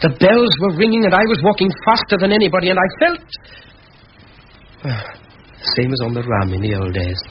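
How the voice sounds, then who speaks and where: An actor playing an ardent young Welsh scholar in a 1940s radio drama, with a Welsh accent and an articulate, fervent delivery. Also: male voice, sits high, animated, normal speed, pauses often. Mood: fervent, defiant. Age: young adult. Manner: fervent, articulate, pouring out words. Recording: dull, noisy vintage radio recording.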